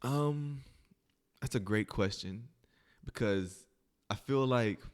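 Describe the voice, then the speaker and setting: A man's voice: medium pitch, takes it slowly, smooth. An American man from southern Illinois and Kentucky, telling stories as a podcast guest in casual, informal talk. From southern Illinois and western Kentucky, United States. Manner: informal, peppered with "like" and "you know". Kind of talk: casual conversational storytelling.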